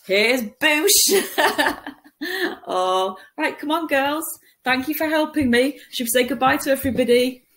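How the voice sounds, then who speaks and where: A woman in her forties reads a picture book to young children as a teacher would, expressive and playful, with dramatic pauses and hushed asides, recorded in a room at home. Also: high voice. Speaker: primary school teacher reading a picture book. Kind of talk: animated children's story reading. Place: small room at home, indoors.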